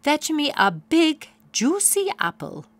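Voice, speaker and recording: A woman voicing a kindly old fairy godmother mare who speaks in a high, grand, emphatic way. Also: big pitch swings, slow pace, no echo, exaggerated voice.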